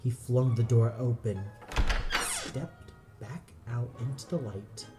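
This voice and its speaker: A man's voice: medium pitch, very animated, slow pace. A man reading dramatic scripted narration for an audio play. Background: music.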